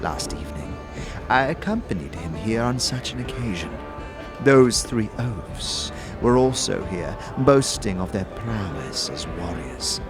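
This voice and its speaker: A man's voice: lowish voice, animated, measured pace. A man voicing a gleeful, haughty and vindictive elven noblewoman in a fantasy audio drama, with a theatrical, disdainful manner. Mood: disdainful, indignant. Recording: music behind the voice.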